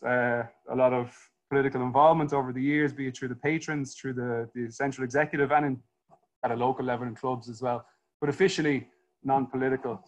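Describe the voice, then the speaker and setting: A man in his thirties with an Irish accent, giving a history lecture in a conversational, hesitant way with many fillers and restarts. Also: medium pitch. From Ireland.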